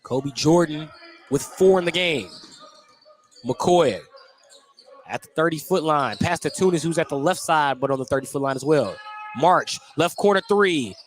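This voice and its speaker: Man calling basketball play-by-play, slightly high voice. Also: animated, moderate pace. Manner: clipped, descriptive running call. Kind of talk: live radio sports commentary.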